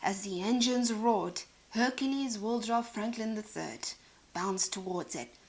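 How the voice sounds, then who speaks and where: A woman narrating a children's storybook in a dramatic, expressive way. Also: mid-range voice, a little slow. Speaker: storybook reader.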